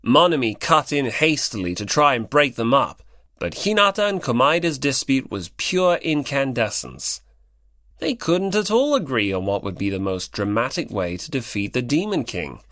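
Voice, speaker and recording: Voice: mid-range voice, very animated, moderate pace, fairly bright tone, no room sound, studio quality. An audiobook narrator reading scripted fiction in the third person.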